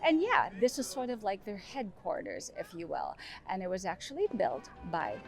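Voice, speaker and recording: A woman's voice: mid-range voice, huge pitch swings, moderate pace. Chatty woman guiding a walking tour. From Philippines. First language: Tagalog. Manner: chatty, loose, with many fillers like "like" and "actually"; walking while talking. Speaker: tour guide. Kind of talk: casual walking-tour vlog narration. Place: outdoors in a city's streets and harbour lookout. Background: background music.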